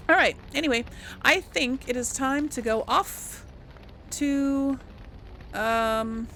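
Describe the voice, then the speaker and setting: A woman's voice: mid-range voice, a bit bright, a little hiss or hum. A woman giving casual let's play commentary, chatting and thinking aloud as she goes. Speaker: gaming channel host.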